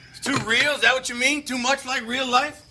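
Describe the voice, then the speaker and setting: An actor playing a rough, volatile brother in a stage drama, telling a story with folksy, emphatic repetition. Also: male voice, extremely high pitch, steady tone, a little fast, pushed and bright, a little hiss or hum, full character voice. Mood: argumentative. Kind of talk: naturalistic filmed stage-play acting.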